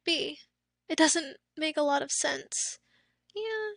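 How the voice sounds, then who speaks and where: A young woman streamer talks loosely with her chat, high-pitched and bright, sometimes slipping into breathy half-whispers. Her voice is a little worn, as if she has been losing it for days. The sound is close and dry, with no room around it. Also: slow pace, dry recording. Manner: chatty, reads out chat, trails into soft whispery asides. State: losing her voice, throat a bit off. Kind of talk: casual chat with live stream viewers.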